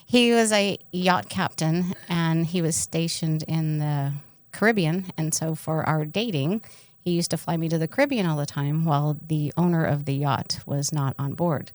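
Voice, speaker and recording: A woman's voice: deep voice, normal speed, a little mellow, no echo. A woman co-hosting a podcast, chatting candidly and telling personal stories with bawdy, joking frankness. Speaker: podcast co-host. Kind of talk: candid casual chat, personal storytelling.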